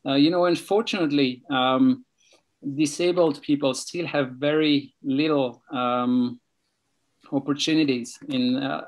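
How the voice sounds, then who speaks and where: A man with a Swiss accent, speaking over a video call about his charity work, slow and halting with many pauses. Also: medium pitch. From Switzerland. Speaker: charity treasurer, guest speaker. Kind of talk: casual talk on a video call. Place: home near Belfast.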